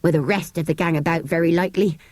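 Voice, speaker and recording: A woman's voice: lowish voice, fairly even intonation, fast, a little mellow, no room sound, close to an everyday voice. A woman voicing a fussy, alarmed male country vicar in an audiobook, genteel, exclaiming and commanding. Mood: flustered, commanding.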